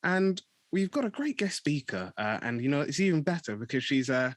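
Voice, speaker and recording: A man's voice: a little high, sing-song, a little fast, dry recording. A young man hosting a podcast interview, chatty and upbeat, with many fillers and restarts. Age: young adult. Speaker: podcast host.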